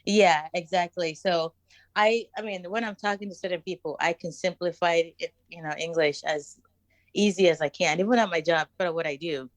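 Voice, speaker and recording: A Nigerian woman talks in a frank, emphatic podcast chat. She is quick to laugh. Her voice sits a touch low, and the sound is close and dry. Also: no room sound. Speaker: podcast guest.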